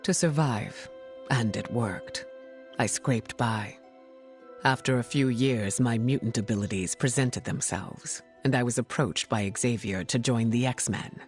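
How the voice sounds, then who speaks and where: A woman playing a regal, gracious mutant heroine in a video game, speaking formally and with measured poise. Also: extremely low pitch, soft background music. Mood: warm, courteous. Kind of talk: video game cutscene acting.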